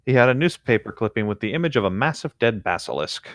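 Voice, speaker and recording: A man's voice: fairly low pitch, big pitch swings, quick pace, no room sound, clean studio sound. A man co-hosting a read-aloud, moving between narrating the text and chatting casually. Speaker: co-host reading fan fiction aloud.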